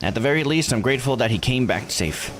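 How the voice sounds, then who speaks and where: A man voicing an earnest, grieving villager from a visual novel, in a measured delivery. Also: mid-range voice, animated, noisy background, natural voice. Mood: solemn, grateful. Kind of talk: reading visual novel dialogue aloud. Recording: music under the voice.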